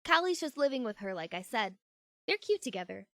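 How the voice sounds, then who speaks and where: A woman's voice: a little high, sing-song, fairly quick, a bit bright, no echo. A woman acting an earnest, assertive young woman in a fan fiction audio drama, speaking conversationally and emphatically. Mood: chatty, fond. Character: earnest, newly assertive young woman, fed up but friendly.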